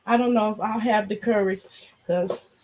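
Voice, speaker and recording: A hoarse, nasal woman vlogger chatting casually and frankly to her viewers in a loose, rambling way. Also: mid-range voice, steady tone, normal speed, a little mellow, low-quality recording. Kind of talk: casual chat to a live audience.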